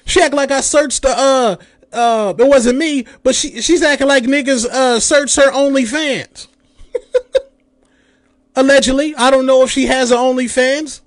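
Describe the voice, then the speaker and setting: A man's voice: very high-pitched, measured pace, no room sound. A man in his late thirties hosting a podcast, delivering a heated, profane, mocking rant. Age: 30s. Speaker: podcast host. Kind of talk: ranting commentary.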